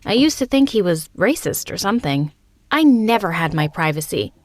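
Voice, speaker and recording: A young woman giving a scripted first-person narration of an animated story, in an expressive, confiding storytelling manner. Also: mid-range voice, moderate pace, dry recording.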